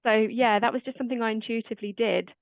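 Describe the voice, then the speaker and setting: A woman hosting a casual chat in a naturally quiet, calming voice. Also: on the high side, fast, dry recording. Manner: quiet, calm voice. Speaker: host. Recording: dry, tinny phone-like line.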